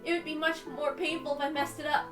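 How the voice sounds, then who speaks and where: A woman with a very high voice gives a dramatic first-person reading of a visual novel. Her delivery is tense and deliberate. She sounds set back from the mic in a roomy space, with clear music underneath. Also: flat delivery, recorded from a distance. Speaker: reader on a stream.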